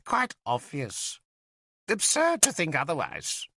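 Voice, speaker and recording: Old mad druid, storybook character, bright sharp voice, theatrical, rambling, indignant, very dry recording. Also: male voice, on the high side, expressive, a little slow, no room sound, pitched above the performer's own voice. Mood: matter-of-fact, scornful. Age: older.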